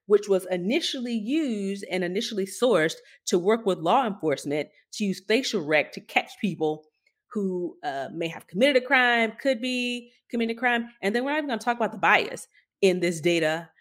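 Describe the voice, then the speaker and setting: A woman co-hosting a podcast, giving conversational, emphatic and skeptical commentary on tech news. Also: medium pitch. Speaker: podcast co-host.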